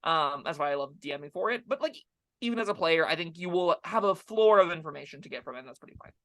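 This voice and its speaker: A man co-hosting a casual tabletop game discussion, rambling in an animated way and slipping into acted-out asides. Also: fairly high pitch, fast talker. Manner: animated, rambling, acts out short quips in asides. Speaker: co-host and tabletop game reviewer. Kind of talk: casual two-person discussion.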